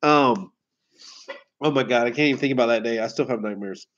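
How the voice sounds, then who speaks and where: A man hosting a casual livestream. He tells rambling stories, laughs and swears easily, and sounds hoarse from a head cold. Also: medium pitch, brisk, fairly bright tone. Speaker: car sales livestream host. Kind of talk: casual livestream chat with viewers. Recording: dull lo-fi stream audio.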